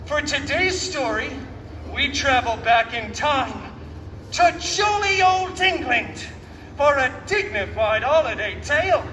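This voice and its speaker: A man's voice: very high voice, lively intonation, slow pace, bright, forceful tone, full character voice. A man playing a wisecracking superhero mercenary as a live theme park storyteller, hammy and chatty with mock cheer and sarcastic asides. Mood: theatrical, playful. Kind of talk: comic storytelling to a live audience. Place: outdoor theme park show. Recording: echoey, noisy outdoor PA from far off.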